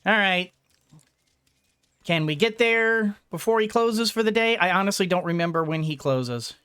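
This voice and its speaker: A man giving casual let's play commentary, rambling and thinking out loud as he goes. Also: high voice, animated, normal speed, clean tone, no room sound, clean studio sound. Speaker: gaming video host.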